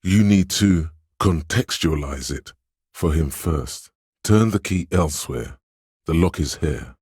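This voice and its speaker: An extremely deep, husky inner voice from a detective game. It is sly and coaxing, placing each phrase with theatrical deliberation. The sound is gravelly and very dry, close and free of room. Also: male voice, lively intonation, slow pace, a little mellow, dry recording, full character voice. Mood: urging, conspiratorial. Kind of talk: scripted game voice-over narration.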